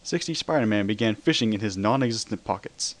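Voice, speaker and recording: A man's voice: lowish voice, animated, a little fast. A man narrating a dramatic reading of comic fan fiction.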